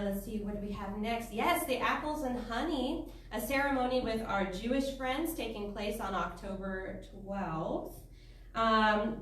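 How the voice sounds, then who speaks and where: A woman giving informal, chatty and upbeat community announcements to a gathering at a spiritual center. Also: on the high side. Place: large echoey meeting room, heard from a distance. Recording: echoey hall, distant mic, background noise.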